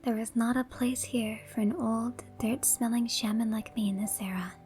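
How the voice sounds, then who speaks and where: Ageless female shaman for audio drama, played by a woman: high voice, teasing, worldly, theatrical, over music. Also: steady tone, moderate pace, a little mellow, lightly acted. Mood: mysterious, reflective. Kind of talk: horror-comedy audio drama acting.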